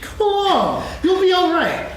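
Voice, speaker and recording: Echoey hall with audience, male actor as sunny helpful boy, very high voice, hugely animated, eager. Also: measured pace, slight background noise, heavily acted. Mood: encouraging. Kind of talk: staged reading of a children's play.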